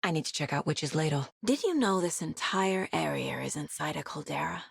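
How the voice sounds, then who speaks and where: A determined female investigator in a video game. Her voice is lowish and clearly husky, recorded very dry and close. She sounds introspective and focused, keeping things understated and natural. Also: animated, moderate pace, no echo, heavily acted. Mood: thoughtful, focused. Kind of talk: video game character voice lines.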